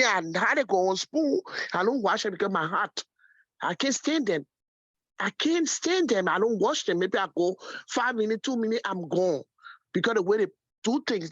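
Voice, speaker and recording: A muffled phone line carries a woman caller. Her voice is mid-pitched and dry. She talks casually and gives her opinions freely. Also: fairly bright tone, no echo. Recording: muffled, band-limited phone call.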